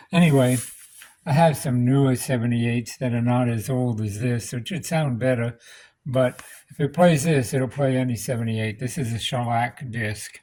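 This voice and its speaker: A man's voice: medium pitch, warm tone, poor audio. A man giving a casual, unscripted electronics repair demonstration, plain-spoken and practical, thinking aloud as he works.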